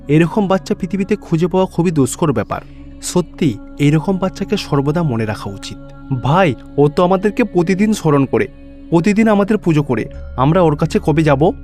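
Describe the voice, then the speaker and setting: Benevolent cartoon deity man acting, fairly high male pitch, affectionate, admiring, music underneath. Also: a little mellow, lightly acted. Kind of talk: cartoon story dialogue.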